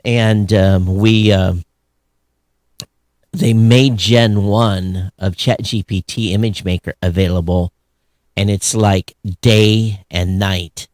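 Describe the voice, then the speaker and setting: A man's voice: fairly low pitch, steady tone, takes it slowly, a little mellow, no echo, clean studio sound. An American man chatting casually and unscripted as a podcast co-host, in a rambling, conversational way. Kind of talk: casual unscripted show chat.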